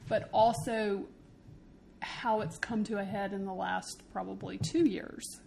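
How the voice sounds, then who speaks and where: Woman, medium pitch, podcast co-host, casual unscripted chat, clear background noise. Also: steady tone, measured pace, darkish tone.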